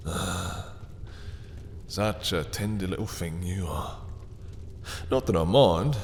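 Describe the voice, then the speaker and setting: Man playing a low-voiced, silky, mocking male vampire. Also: slow, a little mellow, big-room sound, slight background noise. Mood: taunting. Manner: silky, mocking, theatrically courteous, lingering over words. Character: dominant, obsessive male vampire taunting a captured hunter. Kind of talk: intimate roleplay audio drama monologue.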